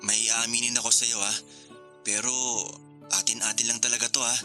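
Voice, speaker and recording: A man's voice: medium pitch, level tone, brisk, bright, forceful tone. Hesitant young groom over music, man acting. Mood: nervous, confiding. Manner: bantering, hesitant, colloquial. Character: young engaged man, joking yet hesitant and conflicted. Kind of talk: radio drama dialogue.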